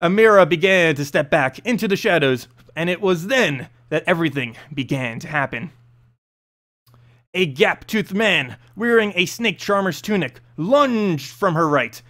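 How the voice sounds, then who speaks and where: A man narrating a fantasy story aloud in an expressive, dramatic, slightly halting reading. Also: on the high side.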